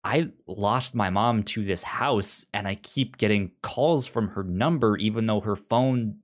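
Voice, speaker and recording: A man co-hosting a casual review discussion, talking haltingly and often repeating words as he works through his thoughts. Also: on the low side. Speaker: podcast co-host.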